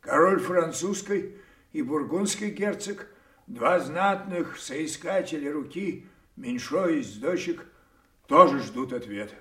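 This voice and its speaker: Slow-spoken old king, echoing hall. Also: a little high, expressive, sharp tone, full character voice. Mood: regal, solemn. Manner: solemn, commanding verse delivery. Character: aged imperious king, weary, dividing his kingdom. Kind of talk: theatrical audio play acting. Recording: echoey stage hall, distant from mic.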